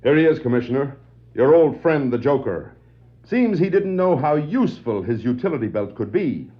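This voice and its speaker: An actor playing a resourceful, steady masked hero with an earnest delivery, in 1960s radio-play style. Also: male voice, medium pitch, normal speed, close to an everyday voice. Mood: triumphant. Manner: earnest, declarative heroic delivery. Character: steady, resourceful adult male masked crime fighter. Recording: muffled, noisy 1960s vinyl record.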